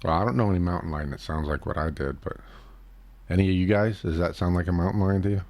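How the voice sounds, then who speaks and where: Deep-voiced guy hosting a voice chat, keeps pressing with questions, dry close sound. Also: fast talker, a little mellow, no room sound. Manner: probing, interrupts to press for answers. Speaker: host. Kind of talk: casual voice-chat discussion. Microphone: computer mic in a voice chat.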